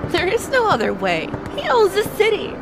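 A woman's voice: extremely high pitch, wildly swooping pitch, normal speed, full character voice. A woman playing a defiant, reckless and vengeful cat burglar in an audio drama, teasing yet determined. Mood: defiant, urgent. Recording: clearly noisy background ambience.

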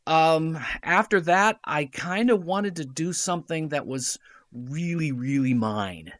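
A man's voice: a little high, expressive, unhurried, fairly bright tone, no echo. A middle-aged man telling stories casually as a guest in an interview, chatty and fond of quoting conversations. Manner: chatty, recounts conversations in quoted dialogue. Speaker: radio play writer and director, podcast guest.

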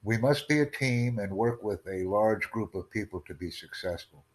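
A man's voice: fairly low pitch, lively intonation, normal speed. A man hosting a casual video chat, earnestly promoting his language course and repeating himself. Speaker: language-learning channel host.

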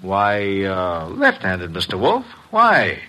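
A man's voice: sits low, huge pitch swings, takes it slowly, sharp tone, heavily acted. An actor playing a fussy, courteous businessman in an old radio mystery, hesitant and flustered in his politeness. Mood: nervous, defensive.

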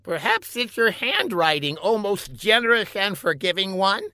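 Man putting on a meek, high-voiced scientist henchman. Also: sing-song, normal speed, sharp tone, smooth, no echo, clean studio sound, heavily acted. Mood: nervous, apologetic. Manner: hesitant, obsequious. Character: meek, deferential male scientist henchman in comic story. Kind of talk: comic audiobook character.

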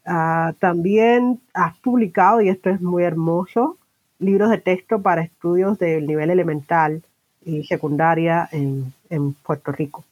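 A woman's voice: lowish voice, measured pace, a little mellow, dull audio. A woman hosting an informal bilingual podcast interview, mixing English words into her Spanish. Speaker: podcast host interviewing a guest.

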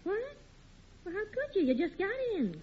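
A woman's voice: very high-pitched, wildly swooping pitch, moderate pace, full character voice. An actress playing an exasperated but patient middle-aged American housewife and mother in a 1940s radio sitcom. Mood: exasperated, shocked. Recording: muffled old radio recording.

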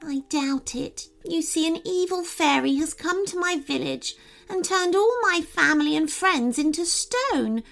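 A woman performs a tiny storybook fairy with a high, soft-spoken delivery. The little creature is timid and sad, sniffling as she speaks with careful politeness, lingering over her words. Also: animated, a little slow, creaky, faint music behind, exaggerated voice. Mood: sad, despairing. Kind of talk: children's storybook narration.

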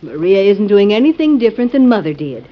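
Sensible adult daughter, expressive mid-pitched voice, mildly exasperated, vintage radio play, dull recording. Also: female voice, fast. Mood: reassuring, exasperated. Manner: reassuring, matter-of-fact. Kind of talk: old-time radio drama acting.

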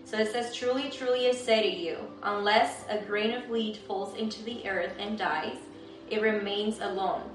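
A woman's voice: mid-range voice, steady tone, normal speed. Female faith-show host, earnest delivery, distant roomy recording with faint music. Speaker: interviewer and host. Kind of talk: livestream testimony interview with scripture reading. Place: indoors in a guest's home. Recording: echoey room, distant mic, music.

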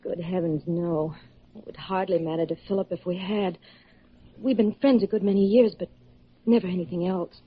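A woman's voice: lowish voice, fairly even intonation, normal speed, slightly rough. A woman acting a worried, helpful young woman in a classic radio drama. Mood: earnest. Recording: muffled old radio recording.

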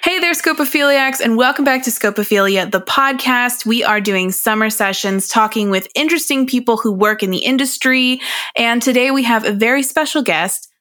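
A woman's voice: fairly high pitch, moderate pace, a bit bright, no echo, studio quality. A woman hosting a podcast in casual chat, sounding slightly nasal from a cold and laughing often. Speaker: podcast host.

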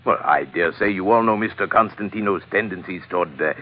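An actor playing a pompous, unctuous villainous colonel with florid, over-polite speech in an old-time radio drama. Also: male voice, deep voice, a little fast. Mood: smugly courteous. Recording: tinny old radio broadcast.

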